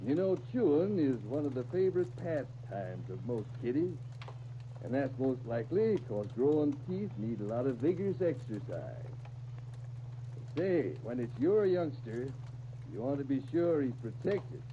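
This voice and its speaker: A muffled, hissy 1930s radio recording carries a kindly older American man from a small town. He speaks in homely, folksy sayings at a medium pitch, like a wise neighbor in a radio serial. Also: sing-song, measured pace. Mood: folksy, genial. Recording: muffled, noisy 1939 radio disc recording.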